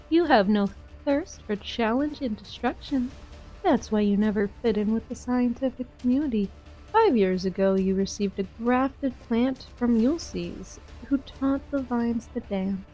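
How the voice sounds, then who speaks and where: Woman as cryptic female mercenary, game drama, highish soft-toned voice, composed needling delivery, music underneath. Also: lightly acted, low-quality recording. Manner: provocative, composed. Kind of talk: fan-dubbed game drama dialogue.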